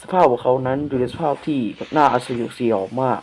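A man playing a solemn government announcer, formally reading a measured emergency warning for an analog horror broadcast. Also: medium pitch, voice pushed down, close to an everyday voice. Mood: solemn, official. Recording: clearly noisy hissing background.

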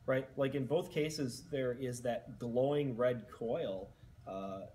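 A young man in a room, talking into a headset mic in a deadpan, earnest infomercial tone. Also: medium pitch, slow pace, a little hiss or hum. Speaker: co-host. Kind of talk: mock-serious cooking commentary.

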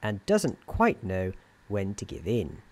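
A soft-spoken man giving a scripted ASMR roleplay narration in a dryly wry, deliberate storybook manner. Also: fairly low pitch, wildly swooping pitch. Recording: keyboard typing and clicking noise. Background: keyboard typing, clicks.

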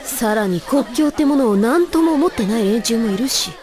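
A woman's voice: a little high, lively intonation, moderate pace, over music, crowd noise, close to an everyday voice. A woman playing a brash, snarky, bossy teenage girl in an anime audio drama.

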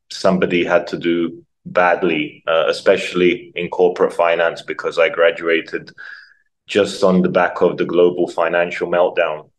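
A man, speaking as an interview guest in a reflective way, full of ums and repeated words. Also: sits low. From Croatia, raised in London. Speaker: guest, nonprofit founder. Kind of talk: casual interview talk.

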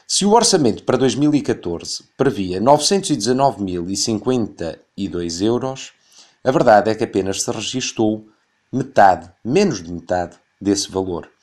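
Measured European Portuguese man, low voice. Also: a little mellow. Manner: measured, reads long figures carefully, critical tone. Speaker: radio commentator. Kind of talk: scripted opinion commentary. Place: radio studio.

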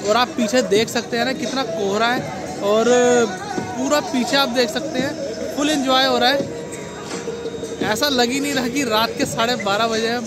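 A man filming a casual travel vlog on an overnight bus trip, chatting to viewers on a handheld phone. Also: extremely high pitch. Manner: chatty, keeps addressing viewers as guys. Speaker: travel vlogger. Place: overnight bus trip, outdoors at roadside stops and inside a bus cabin. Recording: loud vehicle and road noise.

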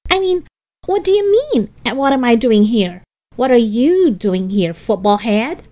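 A woman's voice: a little high, expressive, takes it slowly, darkish tone, voice pushed up. A woman voicing a bossy, dramatic young girl, brash and scornful, in a storybook reading.

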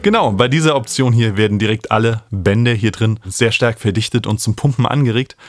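A man, explaining music software as an instructor in a tutorial. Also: on the low side, fairly quick. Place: small home studio, indoors. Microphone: studio microphone up close.

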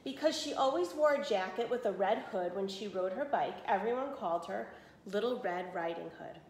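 A woman's voice: medium pitch, expressive, normal speed, darkish tone, slight background noise. A woman in her forties reading a children's story expressively as a teacher, with explanatory asides to pupils. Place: small room indoors. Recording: roomy echo of a small room.

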